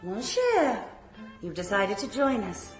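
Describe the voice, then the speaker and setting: An actress playing a vain, imperious society countess in a radio drama, with a grand, affected manner. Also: female voice, medium pitch, wildly swooping pitch, slow pace, echoey room, faint music behind. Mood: imperious, gracious.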